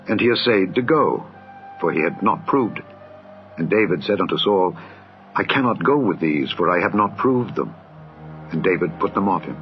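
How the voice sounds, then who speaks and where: A man with a lowish voice reading an old scripture tale for children in a solemn, steady way. His archaic phrasing rolls on over clear background music. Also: highs cut off. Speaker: narrator.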